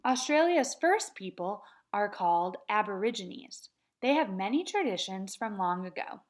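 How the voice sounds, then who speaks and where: A woman teaching kindergarten, reading a nonfiction book aloud and chanting words slowly for the children to repeat. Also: medium pitch, big pitch swings. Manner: slow, patient, sing-song chanting of words for children to repeat. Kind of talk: read-aloud lesson for young children.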